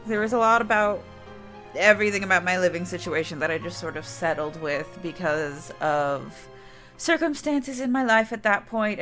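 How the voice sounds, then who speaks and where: A woman's voice: lowish voice, fairly bright tone. A woman streamer chatting casually to her viewers, rambling and often restarting her sentences. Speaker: game streamer.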